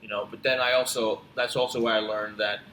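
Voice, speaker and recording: A man with a fairly low, bright voice tells stories casually as a podcast guest. He speaks haltingly and reflectively, circling back over his phrases. The room is echoey around him. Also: level tone. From born in Tanzania, grew up in upstate New York. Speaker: podcast guest, physical therapist. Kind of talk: casual conversational storytelling. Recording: roomy echo, clear background noise.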